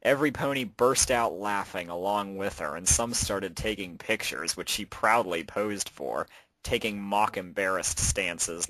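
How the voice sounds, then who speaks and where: A man's voice: low voice, fairly bright tone, no echo, low-quality recording. A man reading out a story as its narrator, in a scripted storytelling style. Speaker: narrator and fan fiction author.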